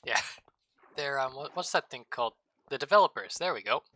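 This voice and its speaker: A man with a very bright, ringing voice at an ordinary pitch, chatting casually while playing a game. He rambles and jokes, tossing off absurd asides in an easygoing way. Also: animated, moderate pace. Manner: joking, rambling, playfully absurd. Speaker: streamer co-host. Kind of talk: casual gaming chat.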